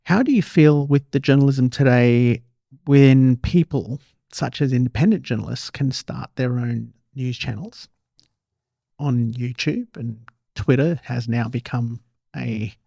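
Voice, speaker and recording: A man's voice: mid-range voice, a little slow, creaky, warm tone, no room sound. A man hosting an interview, asking questions in a conversational way. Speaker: interview host.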